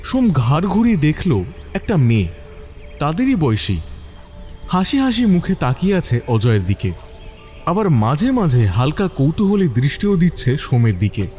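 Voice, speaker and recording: Mellow, expressive male story narrator. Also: on the high side, moderate pace, faint music behind. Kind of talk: dramatic scripted story narration.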